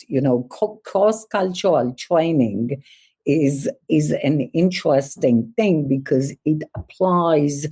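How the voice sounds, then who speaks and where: A woman born in Poland and raised in Israel speaks slowly in a low, mellow voice. She tells her stories in a thoughtful, measured way, as a guest in a podcast conversation. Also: husky. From born in Poland, grew up in Israel. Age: older. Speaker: guest, security expert.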